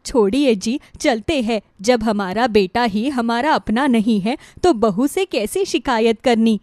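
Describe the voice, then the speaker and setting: A woman's voice: fairly high pitch, animated, unhurried, darkish tone, no room sound. A woman voicing a meek, wronged elderly mother-in-law in a cartoon story, plaintive and resigned. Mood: sad, resigned. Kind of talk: Hindi cartoon moral-story dialogue.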